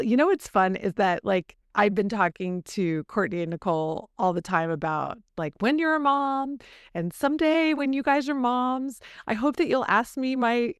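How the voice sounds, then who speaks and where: A woman with a cold and a deep, throaty voice, chatting casually as a podcast co-host and mother. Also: clear, resonant tone, dry recording, studio quality. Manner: chatty, rambling, filler-heavy. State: husky from a cold. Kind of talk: casual chat.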